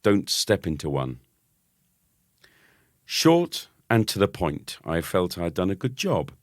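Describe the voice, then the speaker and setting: A man narrating a children's story expressively, with dramatic and deliberate phrasing. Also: sits low, very animated, no echo, clean studio sound. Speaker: audiobook narrator.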